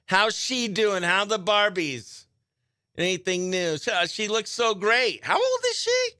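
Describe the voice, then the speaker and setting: Man, radio host, very bright forceful voice, crisp and clean, chatty, upbeat, dry studio sound. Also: high-pitched, very animated, unhurried, dry recording. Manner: upbeat, chatty, mutters to self while working. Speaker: morning radio show host. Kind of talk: behind-the-scenes radio chat.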